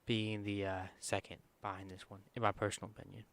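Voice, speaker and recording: A man with a quiet voice walking through a screen-recorded software tutorial in a casual, rambling way, full of fillers and self-corrections. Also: lowish voice, level tone, fast, gravelly. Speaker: streamer giving a software tutorial.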